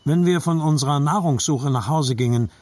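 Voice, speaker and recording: A man narrating a scripted literary story in a measured, reflective manner. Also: fairly high pitch, darkish tone, no echo.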